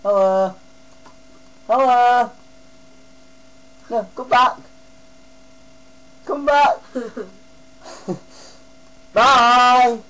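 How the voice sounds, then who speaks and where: Slow, high-pitched young male. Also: pushed and bright, clear, resonant tone, poor audio. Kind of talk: casual chat during a prank. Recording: amateur recording with faint hum.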